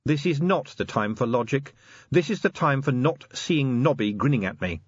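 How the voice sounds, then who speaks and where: A man's voice: medium pitch, lively intonation, moderate pace, slightly rough, no room sound, dull audio, pitched above the performer's own voice. A man voicing an irritable, bossy female werewolf police sergeant in an audiobook, snappish and commanding. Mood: testy. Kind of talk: audiobook character acting.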